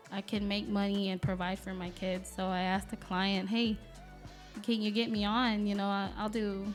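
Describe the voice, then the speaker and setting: A native Vietnamese-speaking woman from Alabama with a Southern US accent, chatting informally as a podcast guest. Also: mid-range voice. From Alabama, USA. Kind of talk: casual interview chat.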